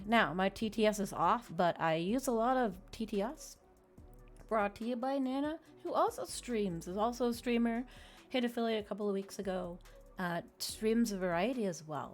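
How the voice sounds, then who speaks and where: A woman live streamer chatting casually and informally to her viewers in a friendly way. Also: medium pitch. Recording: music playing behind the voice. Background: background music.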